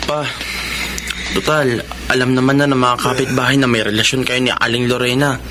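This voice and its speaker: An actor playing a practical, concerned young Filipino son in a Tagalog radio drama. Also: male voice, medium pitch, little pitch movement, moderate pace, pushed and bright, slight background noise, lightly acted. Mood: concerned, explaining.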